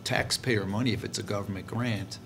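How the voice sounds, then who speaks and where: Male board member, lowish pitch, argumentative and insistent, noisy meeting recording. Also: fairly even intonation, a little fast, gravelly, a bit bright. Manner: insistent, questioning, tags points with "right?". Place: indoor board meeting room.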